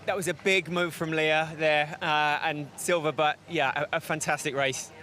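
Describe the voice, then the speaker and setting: A man's voice: on the high side, steady tone, moderate pace, sharp tone, a little hiss or hum. A man doing casual post-race interviews as a sports reporter outdoors.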